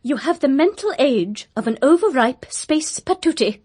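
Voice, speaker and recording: A woman's voice: high-pitched, lively intonation, moderate pace. A woman playing a prim, bossy alien storyteller in a comic radio play, with a precise, superior, scolding manner. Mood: exasperated.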